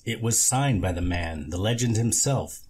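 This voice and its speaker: A man's voice: on the low side, expressive, normal speed, a little mellow, slightly rough. A man reading a novel aloud as scripted narration, as an amateur audiobook reader.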